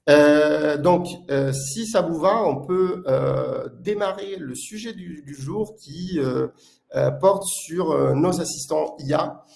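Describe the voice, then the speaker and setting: Italian-accented man with a creaky voice. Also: fairly high pitch, darkish tone. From Italy. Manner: halting, hesitant delivery with frequent filler sounds. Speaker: product manager presenting a webinar. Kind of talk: live webinar presentation.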